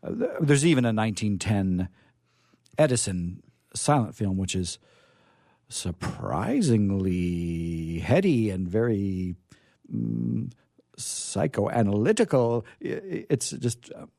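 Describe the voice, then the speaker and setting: Halting, low-voiced man hosting radio. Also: sing-song, vocal fry, warm tone, no echo, studio quality. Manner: halting, rambling, hesitant. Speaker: radio theatre show host. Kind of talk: casual, unscripted radio show chat.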